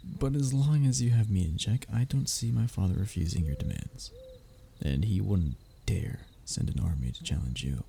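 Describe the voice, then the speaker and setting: A man's voice: on the low side, animated, moderate pace, vocal fry, dark tone, slight background noise. A man playing a young royal heir in a roleplay audio drama, formal and pompous in his wording but often faltering into nervous stammers as he addresses the listener. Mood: persuasive.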